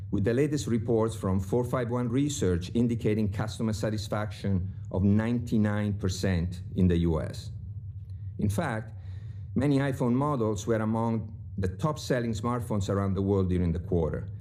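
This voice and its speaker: Low-voiced man reading financial figures. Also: warm tone, a little hiss or hum. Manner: dry, precise reading of figures. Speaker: company finance chief on an earnings call. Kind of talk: scripted financial remarks.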